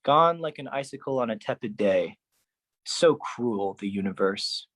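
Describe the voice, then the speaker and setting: Solo performer for theatrical spoken-word poetry, mid-pitched voice, slow and deliberate dramatic phrasing. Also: animated, a bit bright.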